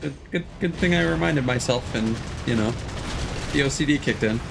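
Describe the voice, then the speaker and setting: A man's voice: medium pitch, normal speed, vocal fry, noisy background. A man giving casual, wry, joking commentary as he streams a video game. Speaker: live streamer. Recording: game music behind the voice. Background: video game music.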